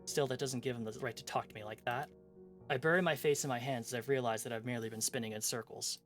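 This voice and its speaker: A man reading aloud the part of a brooding, frustrated professor in a visual novel mystery, in measured, formal wording. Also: mid-range voice, fairly even intonation, fairly bright tone, close to an everyday voice. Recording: music behind the voice.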